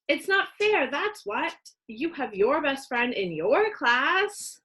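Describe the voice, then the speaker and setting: Woman voicing a sulky young schoolboy, lively pitch. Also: slow pace, heavily acted. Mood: indignant. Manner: petulant, complaining. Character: whiny young second-grade boy, sulky and stubborn.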